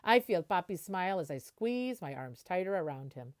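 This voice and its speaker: Lively woman reading a picture book aloud. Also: lowish voice, normal speed. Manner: animated storytelling, explaining and translating as she goes. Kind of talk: expressive picture-book read-aloud with explanatory asides.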